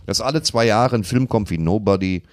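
A man's voice: lowish voice, a little fast, no echo, clean studio sound. A man co-hosting a casual film chat, joking and throwing in wry remarks. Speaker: film podcast co-host.

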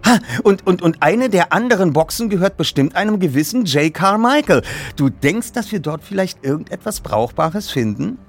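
A man puts on an eager teenage sleuth for an audiobook. The voice sits higher and moves around a lot, earnest and excitable. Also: normal speed, few pauses, exaggerated voice. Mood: excited.

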